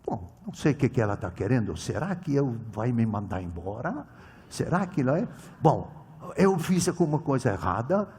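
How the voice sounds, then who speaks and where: An older male pastor, not a native Portuguese speaker, preaching a sermon with a personal story, swinging between loud proclamation and quiet storytelling. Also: mid-range voice, sing-song, normal speed, slightly rough. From immigrant to Brazil. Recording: reverberant hall.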